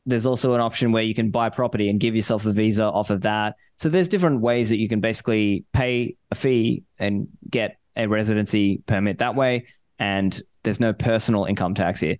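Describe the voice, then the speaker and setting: A man raised in Australia chatting as a podcast guest, relaxed and thoughtful, with fillers and restarts. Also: lowish voice, a little fast, dry recording. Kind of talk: casual interview chat.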